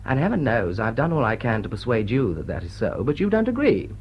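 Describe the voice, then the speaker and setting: An actor playing a cynical, smitten upper-class bachelor lord in a period drawing-room drama, witty and arch. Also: male voice, mid-range voice, sing-song, brisk, slight background noise, lightly acted. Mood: smitten, earnest. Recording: dull, muffled audio.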